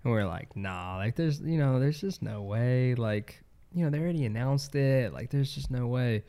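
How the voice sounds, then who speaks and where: A man's voice: mid-range voice, expressive, moderate pace, warm tone. A man co-hosting a podcast, nasal from a cold, rambling casually with many fillers. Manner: rambling, lots of "like". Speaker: podcast co-host, esports player. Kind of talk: casual chat.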